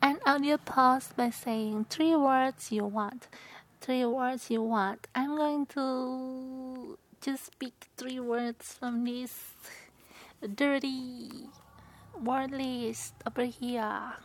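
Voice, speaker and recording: Indonesian-accented woman vlogger, high-pitched and unhurried, soft whispery asides. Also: steady tone. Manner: soft-spoken, slips into whispered asides, giggly. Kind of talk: casual accent-tag vlog, reading questions aloud.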